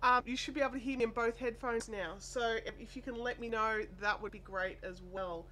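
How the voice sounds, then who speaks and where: An Australian woman hosting a casual craft live stream, chatty and apologetic, her voice made deeper and nasal by a chest cold and sore throat. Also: steady tone, a little fast, sharp tone. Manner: chatty, apologetic, reads viewer comments aloud. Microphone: desk microphone. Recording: faint air conditioner hum.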